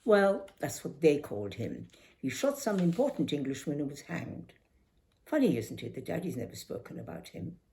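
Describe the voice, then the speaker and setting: A knowing schoolgirl big sister, read by an older woman from a memoir talks in a casual, matter-of-fact way. The intonation is varied and expressive. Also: sits low, normal speed, creaky, exaggerated voice.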